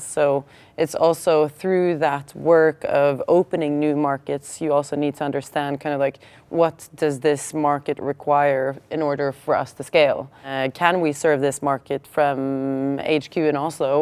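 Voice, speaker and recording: A woman speaking English, her voice low-pitched and recorded close and dry with no room echo. She talks as a founder on a panel, thinking her answers through and leaning on "kind of" as she goes. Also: a little mellow, clean tone. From Norway. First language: Norwegian. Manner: thoughtful, with many "kind of" fillers. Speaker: startup founder. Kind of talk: panel discussion.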